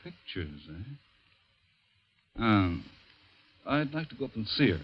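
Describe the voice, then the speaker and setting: An actor playing a calm middle-aged family doctor in 1940s radio drama, measured, reassuring and gently probing. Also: male voice, lowish voice, breathy edge, full character voice. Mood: courteous, measured. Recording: dull vintage radio recording.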